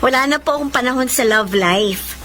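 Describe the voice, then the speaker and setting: A woman's voice: high-pitched, moderate pace, fairly bright tone, slight background noise. A Filipino actress playing a devoted, forgiving and earnest young mother in a radio drama. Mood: happy, excited. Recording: music bed behind the voice.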